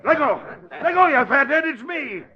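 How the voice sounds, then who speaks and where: An excitable, irritable cop in a vintage radio thriller. His voice is pitched extremely high amid loud commotion, muffled by the old broadcast. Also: moderate pace, a crowd in the background. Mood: frantic, irritated. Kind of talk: 1940s radio acting.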